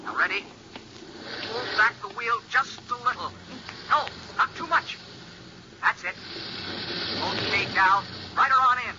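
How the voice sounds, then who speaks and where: A man's voice: high-pitched, measured pace, long pauses. An actor playing an urgent, commanding man talking a pilot down over the radio in a 1940s radio drama. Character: urgent man giving landing instructions. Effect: radio transmission. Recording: muffled, noisy vintage radio transmission.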